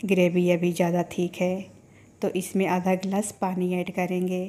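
Low, flat-voiced woman explaining a recipe. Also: normal speed, faint music behind. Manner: steady step-by-step instruction. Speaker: cooking channel host. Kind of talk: calm recipe voice-over.

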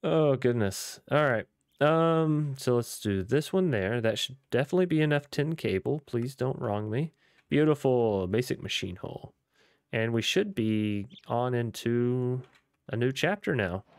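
Young gaming YouTuber, male, chatty and upbeat, voice going a bit hoarse, dry close mic sound. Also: mid-range voice, animated, measured pace, no echo. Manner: chatty, upbeat, rambling with fillers. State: losing his voice. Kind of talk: casual gameplay commentary.

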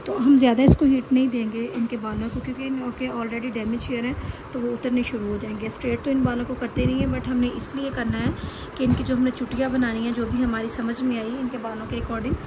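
A woman with a Pakistani accent giving an informal, instructive hairstyling tutorial as a salon instructor, often checking that listeners follow. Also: fairly high pitch. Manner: instructive, repeatedly checks with "okay". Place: indoor beauty salon. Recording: very noisy salon room recording. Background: loud background noise.

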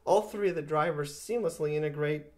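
A man in his fifties with a slightly high-pitched voice talks through an equipment review. He explains technical points step by step and reaches for plain, everyday comparisons. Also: brisk, darkish tone. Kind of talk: product review talk to camera. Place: living room with acoustic panels, indoors.

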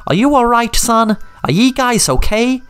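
A woman's voice: fairly high pitch, animated, moderate pace, clear, resonant tone, voice pushed up, close to an everyday voice. A woman voicing a shrewd, commanding male sheriff who talks to his men in a knowing, fatherly way. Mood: relieved.

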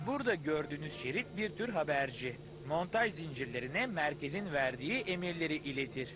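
A proud cartoon cell guide, played by a man, lectures in a fairly high male voice. The manner is didactic and showy, on muffled audio with music playing behind. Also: animated, fairly quick, close to an everyday voice. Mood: proud explaining. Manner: didactic, showman-like. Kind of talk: dubbed educational cartoon acting.

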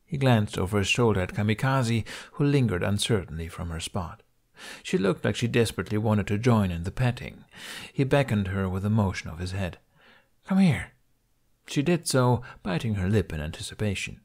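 A man's voice: on the low side, expressive, a little fast, creaky, a little mellow. A man reading a scripted story aloud as an audiobook narrator.

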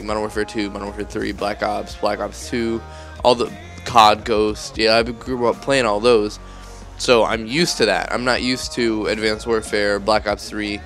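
A man's voice: on the low side, vocal fry, fairly bright tone. A young man who says he is sick and groggy, rambling casually as a gaming YouTuber over gameplay footage. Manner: rambling, chatty, self-correcting.

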